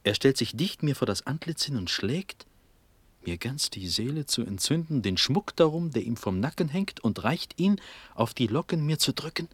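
An actor playing a dazed, dreamy young Prussian prince in a verse radio drama, fervent and halting, with breathy speech drifting into whispers. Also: male voice, medium pitch, sing-song, dry recording. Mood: rapturous. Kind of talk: classical German stage verse radio drama.

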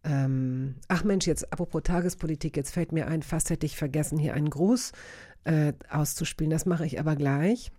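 A woman's voice: deep voice, normal speed, warm tone. A middle-aged woman hosting a casual, conversational interview. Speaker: interview host.

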